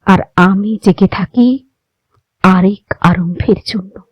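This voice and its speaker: A woman giving a dramatic, expressive poetry recitation with measured delivery and deliberate pauses. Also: mid-range voice, breathy edge, no echo.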